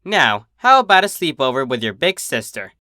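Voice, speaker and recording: A man's voice: mid-range voice, very animated, normal speed, pushed and bright, no echo, clean studio sound. A man voicing a cocky, protective female pegasus, a big sister with a brash, casual, reassuring manner. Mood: proud, warm.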